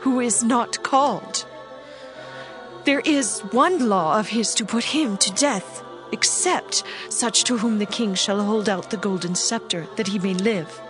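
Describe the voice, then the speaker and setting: A woman's voice: medium pitch, a little slow, close to an everyday voice. An actress playing a grave, earnest queen in a dramatized scripture reading. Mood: grave, worried. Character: grave young queen fearing a deadly law. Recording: music behind the voice.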